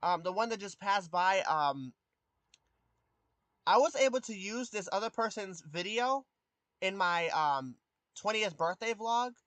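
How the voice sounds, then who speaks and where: A man of twenty chatting casually with his live stream viewers. He has a youthful voice that has not deepened, and he hesitates often with ums and restarts. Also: lively intonation, measured pace, long pauses, a bit bright, no echo. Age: 20s. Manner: hesitant, many ums and restarts, answers chat questions.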